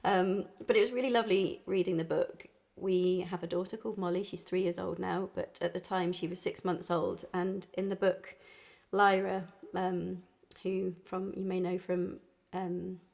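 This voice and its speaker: A woman's voice: lowish voice, level tone, moderate pace, creaky, hardly any pauses, a little mellow. A woman musician chatting informally and introducing songs, with an easy, laughing manner. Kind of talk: casual chat between songs.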